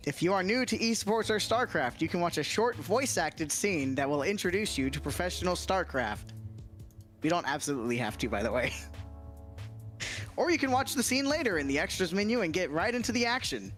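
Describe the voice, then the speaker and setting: A man's voice: sits high, very animated, fairly quick, fairly bright tone. A man chatting casually on a gaming livestream and reading out game text, with an easygoing, jokey, slangy manner. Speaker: co-streamer and guest voice actor. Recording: music playing behind the voice.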